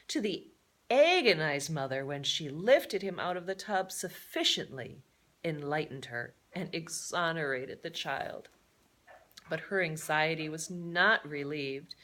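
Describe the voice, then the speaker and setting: A woman's voice: sits low, a bit bright. A woman reading a children's story aloud in a theatrical way, adding chatty, playful asides. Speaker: storybook reader.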